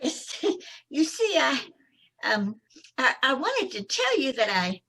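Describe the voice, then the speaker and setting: A woman's voice: high-pitched, sing-song, takes it slowly, gravelly, fairly bright tone, exaggerated voice, poor audio. A woman playing a fluttery, lovestruck, scatterbrained older landlady in an old time radio comedy, swooning and dithering. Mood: giddy, fluttery. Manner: dithering, swooning, breathlessly flustered. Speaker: amateur radio troupe actor.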